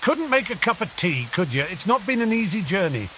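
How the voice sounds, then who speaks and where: A man voicing a big, gruff but friendly groundskeeper with hearty, blunt, rustic speech in a storybook reading. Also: high voice, big pitch swings, a little fast, fairly bright tone, slight background noise, pitched above the performer's own voice.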